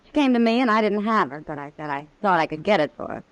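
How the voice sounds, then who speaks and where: A woman's voice: mid-range voice, animated, quick pace, no room sound, close to an everyday voice, poor audio. A woman playing a cool, worldly frontier woman in a radio western, with an American accent and a knowing, direct manner. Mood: frank, wary. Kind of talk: 1950s radio western acting.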